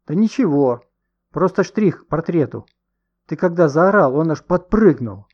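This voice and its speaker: A man reads as an excitable storybook boy playing detective. The delivery is animated and colloquial, lifted in pitch, with slightly muffled sound. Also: moderate pace. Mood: excited.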